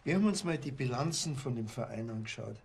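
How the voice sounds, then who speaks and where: A man's voice: mid-range voice, lively intonation, quick pace, gravelly, slight background noise. A man, playing a sardonic, laid-back village cop in a TV crime comedy who makes dry, mocking remarks.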